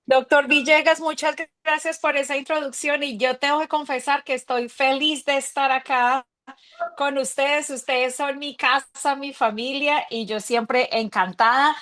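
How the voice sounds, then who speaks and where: A woman's voice: high voice, steady tone, a little slow, pushed and bright. A woman, an interventional cardiologist, giving a chatty, enthusiastic talk to colleagues over a video call. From Colombia.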